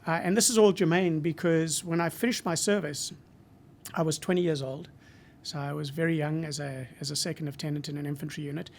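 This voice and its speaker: A man in his sixties from Zimbabwe with a Zimbabwean accent, a marketing professor speaking as a guest in a podcast interview, reflective and measured with frequent hesitations. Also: fairly high pitch, fairly even intonation, creaky, darkish tone, slight background noise.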